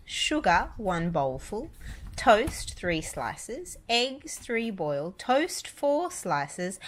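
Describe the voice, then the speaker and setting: A woman reading a children's story aloud, deliberate and emphatic on repeated descriptive words. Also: medium pitch. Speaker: storybook reader, parent.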